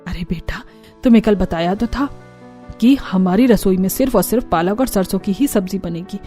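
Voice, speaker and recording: Woman doing a smug, mellow mother-in-law. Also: mid-range voice, moderate pace, soft background music, lightly acted. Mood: firm. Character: self-satisfied, insistent mother-in-law, middle-aged. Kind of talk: Hindi cartoon story character acting.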